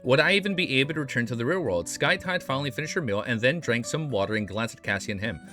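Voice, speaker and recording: A young man streaming a reaction, reading a novel aloud with excited, swearing outbursts, his voice a bit hoarse. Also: medium pitch, fast, soft background music.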